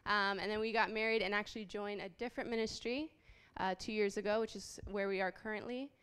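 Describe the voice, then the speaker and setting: A woman in her late twenties, raised in Haiti, speaks to a gathering about her own story. Her voice is exceptionally clear and smooth, with a middle pitch, and she talks earnestly, stopping for small ums as she goes. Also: fairly even intonation, a little fast, fairly bright tone. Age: late 20s. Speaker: guest speaker. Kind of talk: personal testimony talk.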